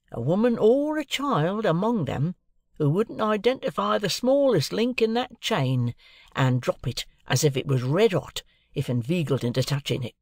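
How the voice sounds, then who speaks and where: A woman's voice: lowish voice, a little mellow, no room sound, studio quality, voice pushed up. A woman voicing a proud, shrewd, eccentric male clerk in an audiobook, with emphatic, confiding, colloquial talk. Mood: impressed, confiding. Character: chirpy, proud middle-aged London clerk, man, shrewd and eccentric.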